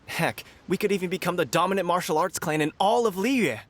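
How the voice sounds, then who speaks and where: An actor playing an eager, frank young martial arts student in a video game, speaking in an earnest, emphatic way. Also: male voice, high voice, brisk. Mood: hopeful.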